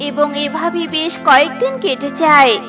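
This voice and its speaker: A woman narrating a children's cartoon story in an expressive tone. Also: sits high, normal speed. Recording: music underneath.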